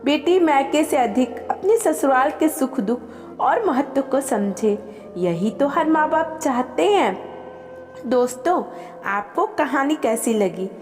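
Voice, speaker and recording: A woman reading a scripted, emotional family story as an expressive storyteller. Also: sits high, takes it slowly. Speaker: storyteller and channel host. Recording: long reverb with music behind. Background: background music.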